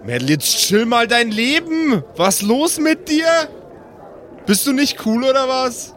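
A man's voice: very high-pitched, big pitch swings, unhurried, fairly bright tone, pitched above the performer's own voice, heavily acted. A man voicing a laid-back, dim male orc party bro who rambles in slang for a tabletop game. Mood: indignant. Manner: slangy, stoner-like drawl, repeats himself.

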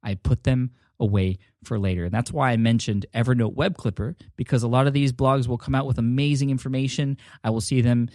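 A man's voice: medium pitch, fairly even intonation, fairly quick, few pauses, a little mellow, no room sound, clean studio sound. A man hosting his own podcast, talking in a friendly, casual way and often restarting his sentences as he thinks aloud. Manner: friendly, rambling, frequent restarts. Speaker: podcast host. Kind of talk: casual solo podcast talk.

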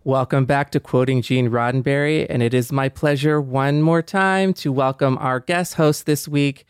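A man co-hosts a podcast in loose, casual chat, circling his points and restarting his sentences as he works them out. His voice sits at a middle pitch. The sound is close and dry. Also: fairly even intonation, normal speed, clean tone, no room sound. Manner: rambling, thinks aloud with many restarts. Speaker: podcast co-host.

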